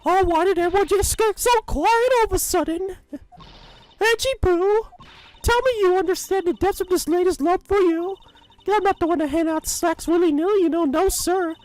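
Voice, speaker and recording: A performer voicing a clingy, dramatic old woman in a fan dub of a game, shrill and gushing. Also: moderate pace, poor audio. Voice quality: shrill, high and loud. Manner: gushing, scolding, rambling.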